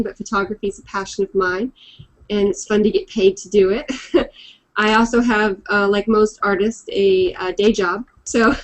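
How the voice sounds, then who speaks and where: A woman's voice: medium pitch, low-quality recording. A woman guest introducing herself casually, rambling and laughing easily. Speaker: guest artist.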